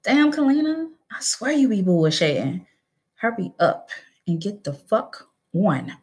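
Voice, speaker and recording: A woman's voice: medium pitch, sing-song, unhurried, darkish tone, voice pushed up. A woman reading a story aloud and voicing a hostile young mother who curses and taunts. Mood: scornful. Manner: profane, taunting.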